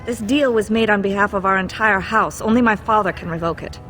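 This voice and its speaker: A woman's voice: medium pitch, brisk, a bit bright, natural voice. An actress playing a proud, cool dwarven noblewoman in a fantasy video game, formal and composed. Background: faint game music.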